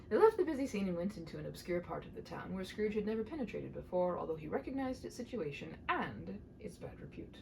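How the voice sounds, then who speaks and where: Woman reading a story out loud, really lively pitch, chatty asides, bit of background noise. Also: gravelly. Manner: animated, chatty when stepping out of the text. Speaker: audiobook reader and host of the channel. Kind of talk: expressive storybook read-aloud with casual asides.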